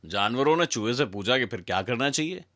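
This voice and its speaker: Bright, animated male storyteller. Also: medium pitch, brisk, no echo, studio quality. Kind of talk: scripted children's story narration.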